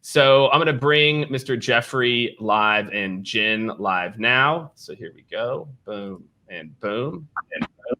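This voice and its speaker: A man's voice: medium pitch, animated, takes it slowly, few pauses, pushed and bright. An American man hosting a casual livestream chat, talking informally and repeating phrases for emphasis. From Kansas City, United States. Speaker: host.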